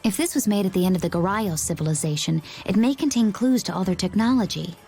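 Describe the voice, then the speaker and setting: A woman's voice: medium pitch, fast talker, dark tone, soft background music, lightly acted. A woman voicing a composed, thoughtful young woman in a video game. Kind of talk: English video game voice acting.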